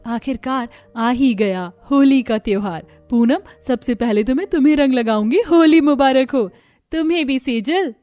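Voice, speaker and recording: A woman acting an expressive, chatty young village daughter-in-law in a cartoon story. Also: high voice, moderate pace, soft background music, natural voice. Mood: cheerful, festive.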